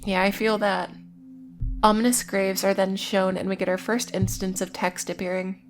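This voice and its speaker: A woman's voice: medium pitch, flat delivery, normal speed. A woman narrating a scripted video essay in an informal way, with casual, wry asides amid plain recapping. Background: music.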